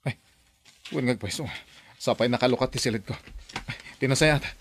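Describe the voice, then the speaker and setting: A man's voice: mid-range voice, a little fast, lightly acted. An actor playing a bitter middle-aged boss who sighs and accuses his nanny, in a radio drama. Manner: sighing, resentful, raises voice.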